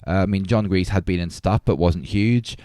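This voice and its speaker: Deep voiced guy on a film podcast, emphatic and argumentative, dry close sound. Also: moderate pace, mellow, no echo. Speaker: podcast host. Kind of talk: opinionated film debate, conversational.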